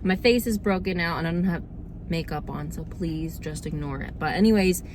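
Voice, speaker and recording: A young woman with a slightly hoarse, under-the-weather voice, chatting casually and informally as she hosts a food review vlog. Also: on the low side, moderate pace, noisy background. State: slightly hoarse, getting over a cold. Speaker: host of a cookie review video series.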